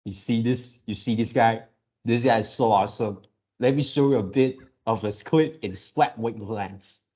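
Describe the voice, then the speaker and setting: A man hosting a casual fan reaction video, gushing excitably in halting, drawn-out phrases. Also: on the low side, breathy edge.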